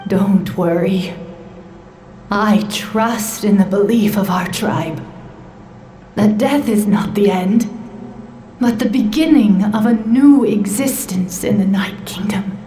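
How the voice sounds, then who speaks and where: A woman voicing a gentle, wise, dying sage in a video game, her voice weak, whispery and solemn, with halting phrases. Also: mid-range voice, lightly acted. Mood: frail, serene. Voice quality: breathy, whispery. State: weak, ailing. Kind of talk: video game character acting. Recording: roomy echo, faint background noise.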